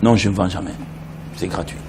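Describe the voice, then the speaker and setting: A man's voice: sits low, brisk. A middle-aged man from Benin, a Yoruba speaker, chatting animatedly as an interview guest. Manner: animated storyteller, breaks into song. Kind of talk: casual interview chat. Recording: noisy background.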